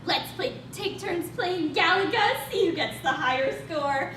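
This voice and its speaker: A woman in a staged play reading, playing an excitable, childlike, stubbornly cheerful sister, with a playful, insistent delivery. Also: measured pace, full character voice. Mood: playful, excited. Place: stage reading in a roomy venue. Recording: echoey room from far off, noisy rumble.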